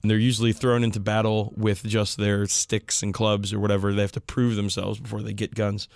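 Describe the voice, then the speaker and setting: A man's voice: on the low side, level tone, a little fast, vocal fry, a little mellow, no echo, studio quality. A man hosting a podcast, explaining things in a casual, enthusiastic hobbyist way. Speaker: podcast host.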